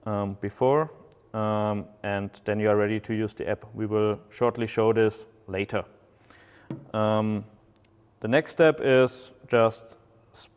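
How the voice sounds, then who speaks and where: A man whose first language is German gives a halting product walkthrough, pausing often on filler sounds. His voice is smooth and resonant. It carries a long hall reverb. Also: medium pitch. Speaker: product presenter. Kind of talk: product unboxing demonstration.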